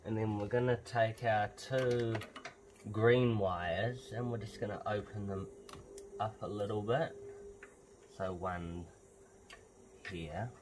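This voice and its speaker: A man's voice: fairly low pitch, slow pace, pauses often, background noise. A man giving offhand, casual DIY commentary, talking through each step as he works on a car. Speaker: host of a car modification vlog. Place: outdoors beside a car's open bonnet.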